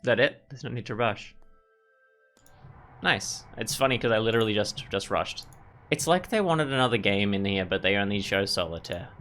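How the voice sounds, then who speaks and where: A man's voice: lowish voice, expressive, moderate pace, a bit bright, faint music behind. A man giving casual let's play commentary as a gaming video host, musing and thinking aloud as he goes. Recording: clear background noise and ambience.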